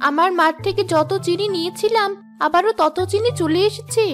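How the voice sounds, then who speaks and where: A woman's voice: very high voice, normal speed, a bit bright, faint music behind. A woman voicing a humble, earnest young village widow and mother in a children's fairy-tale cartoon, with a plaintive, exclaiming delivery. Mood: amazed relief.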